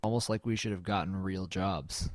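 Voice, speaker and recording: A man's voice: sits low, steady tone, moderate pace, background noise, natural voice. A man playing a brooding, melancholy artist who narrates an audio drama in a reflective, understated, slightly hesitant way. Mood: subdued, reflective.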